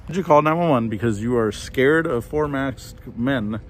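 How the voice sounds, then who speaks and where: A man's voice: mid-range voice, normal speed. A man talking casually and informally in slang, as a vlogger filming out on the street. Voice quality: slangy, profane. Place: outdoors on streets near traffic. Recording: noisy outdoor street with traffic.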